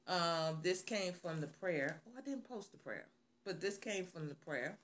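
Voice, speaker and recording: A woman's voice: lowish voice, lively intonation, measured pace, slight background noise. A middle-aged woman preaching on the radio, opening with prayer and then asking rhetorical questions and repeating phrases.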